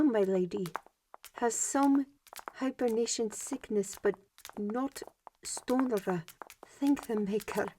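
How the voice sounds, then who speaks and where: A woman's voice: high-pitched, dark tone, slight background noise, low-quality recording. A woman playing a deferential young castle servant who hesitates and searches for words. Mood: reassuring. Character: young female castle servant, polite and flustered.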